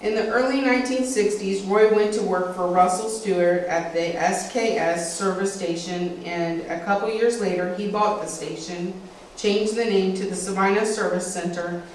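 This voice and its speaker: A woman's voice: fairly low pitch, fairly even intonation, moderate pace. A middle-aged American woman reads a written family history aloud at a lectern. She is picked up from a distance in a very echoey indoor room, with faint noise underneath. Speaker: speaker at a local historical society event. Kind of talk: reading a prepared family history script aloud with occasional asides. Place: indoor meeting room with a small audience. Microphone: distant camera, speaker at a lectern.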